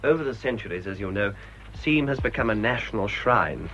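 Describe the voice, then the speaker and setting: Grumbly english vicar, low voice, fed up n dry, radio play, muffled w faint hiss. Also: male voice, expressive, brisk, a bit bright. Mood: dry, explaining. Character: dissatisfied, bitter English village priest. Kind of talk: British radio drama acting. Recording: dull, noisy old radio drama recording.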